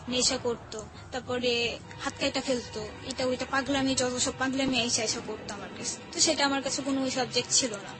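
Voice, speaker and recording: A woman's voice: sits high, low-quality recording. A young woman with a Bangladeshi accent, telling personal stories candidly in casual colloquial talk as a show guest. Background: background music.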